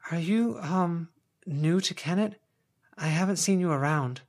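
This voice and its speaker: Gentle, anxious young woman, voiced by a man. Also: fairly high pitch, unhurried, pitched above the performer's own voice. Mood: apologetic. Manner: hesitant, placating. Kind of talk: audiobook character voice.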